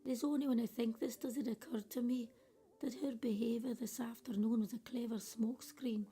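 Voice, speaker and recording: A woman's voice: a little high, fairly even intonation, brisk, mellow, close to an everyday voice. A woman playing a judgmental but conscientious care worker, reflecting bitterly in a radio play monologue. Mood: bitter, reflective.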